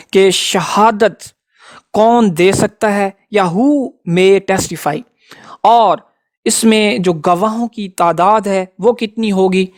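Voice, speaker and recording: A man gives a prepared educational law lecture in a formal, methodical way, setting out points one by one. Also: sits high. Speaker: advocate presenting law lessons.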